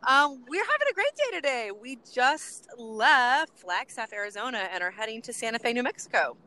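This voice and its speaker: A woman co-hosting from a car, bubbly and rambling, her voice worn low from chanting. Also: sing-song, moderate pace, bright, forceful tone. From US West Coast. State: voice getting lower from chanting. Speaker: co-host. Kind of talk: casual co-host chat, road trip update.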